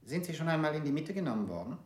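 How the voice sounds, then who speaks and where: Brusque quick interrogator, fairly high, in a roomy space. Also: male voice, very animated, a little mellow. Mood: curt. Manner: curt, quick demands.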